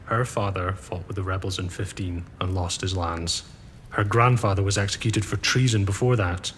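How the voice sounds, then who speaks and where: A man's voice: fairly even intonation, normal speed, a bit bright. A man playing the earl's loyal, blunt friend, plain-spoken and direct. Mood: stern. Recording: long hall reverb, faint low rumble.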